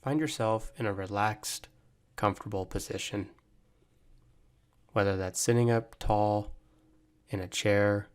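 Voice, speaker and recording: A man guiding a body scan meditation, giving gentle, measured instructions with long pauses. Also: on the low side, clean tone. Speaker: meditation guide, mental performance coach.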